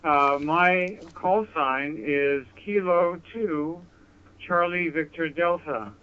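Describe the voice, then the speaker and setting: Smooth American man over narrow-band radio. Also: on the high side, bright, forceful tone. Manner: polite, measured, deliberate. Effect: radio transmission. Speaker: amateur radio operator. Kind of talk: ham radio contact, conversational. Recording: narrow, phone-like handheld radio audio.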